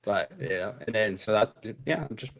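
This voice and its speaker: A man's voice: on the low side, lively intonation, normal speed, creaky. Laid-back young man from Mexico. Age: young adult. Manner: rambling, laid-back, lots of fillers and restarts. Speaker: podcast guest. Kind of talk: casual chat.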